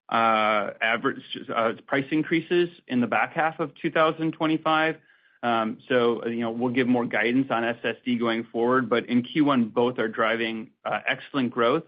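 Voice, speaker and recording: A man speaking as a finance executive answering on an earnings call, halting and often restarting. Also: mid-range voice. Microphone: conference call line. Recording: muffled conference call audio.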